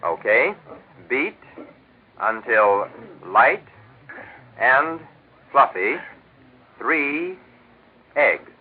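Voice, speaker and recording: A man's voice: mid-range voice, big pitch swings, very slow, long pauses, slightly rough. Old time american radio announcer guy, folksy and hammy, voice jumps all around, thin tinny recording. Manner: jovial, eager, hammy salesman. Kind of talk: 1940s radio sponsor patter, scripted and folksy. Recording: tinny band-limited 1940s radio recording.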